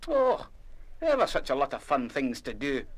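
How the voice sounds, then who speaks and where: An actor playing a kindly Scottish music master in a radio play, with a gentle, coaxing and encouraging manner. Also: male voice, mid-range voice, huge pitch swings, moderate pace. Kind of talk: full-cast radio play acting.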